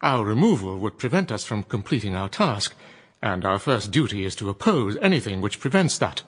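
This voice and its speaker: A man's voice: lowish voice, sing-song, moderate pace, slightly rough. A man voicing a formal, deferential robot butler in a radio drama. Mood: defiant. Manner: polite, precise, deferential. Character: formal robot butler, male, dutiful and stubborn.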